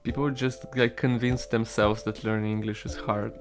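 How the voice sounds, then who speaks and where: A man's voice: on the low side, little pitch movement, normal speed, over music. A young man whose first language is Russian, chatting casually and rambling with stream viewers while he codes, swearing now and then. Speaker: solo game developer streaming.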